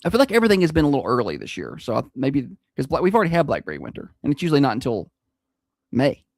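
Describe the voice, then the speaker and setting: A man with a high-pitched Southern accent, hosting a morning radio show in casual, self-deprecating chat. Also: huge pitch swings, very fast, creaky, darkish tone, no echo, clean studio sound. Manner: chatty, self-deprecating, laughs easily. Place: radio studio.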